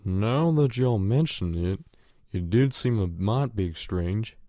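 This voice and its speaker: A man's voice: on the low side, lively intonation, takes it slowly, dark tone, no echo, studio quality. A man playing a stubborn, easygoing farm pony with a folksy American Southern drawl in a fan audio drama.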